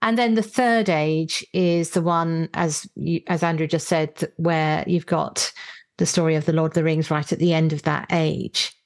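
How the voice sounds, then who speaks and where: A woman's voice: sits low, steady tone, normal speed. A woman speaking as a podcast host, introducing and interviewing in an articulate, explanatory way.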